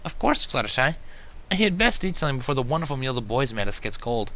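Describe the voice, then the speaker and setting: A gentle, bookish young mare from a fan story reading, acted out by a man in a raised voice. She explains things in a measured, reassuring manner, with a bright edge to her tone. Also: mid-range voice, sing-song, voice pushed up. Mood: gently reminding. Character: studious, gentle young female pony, reassuring.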